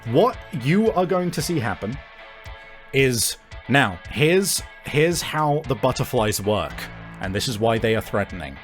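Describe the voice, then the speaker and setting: Male game master, mid-pitched, narrating tabletop fantasy play in a halting improvised delivery, light music underneath. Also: sing-song.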